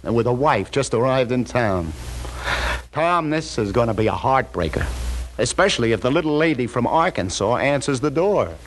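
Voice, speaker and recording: An actor playing a wry, wisecracking police lieutenant in a 1950 radio drama, chuckling often. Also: male voice, mid-range voice, animated, moderate pace, over music, natural voice. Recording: old radio broadcast with faint noise.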